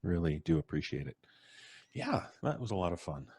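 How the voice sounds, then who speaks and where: A man's voice: deep voice, sing-song, fairly quick, a little mellow, husky, no room sound, clean studio sound. A man hosting a live show, chatting casually and genially with his audience and colleagues. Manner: genial, appreciative.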